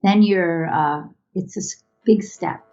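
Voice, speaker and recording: Low-voiced woman on a dull video call. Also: takes it slowly, a little mellow, faint music behind. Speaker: interviewed video artist. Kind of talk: reflective interview answers.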